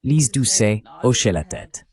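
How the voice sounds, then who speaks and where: A man's voice: medium pitch, big pitch swings, unhurried, darkish tone. A man speaking as a dubbed interview guest, recounting events at length with indignation.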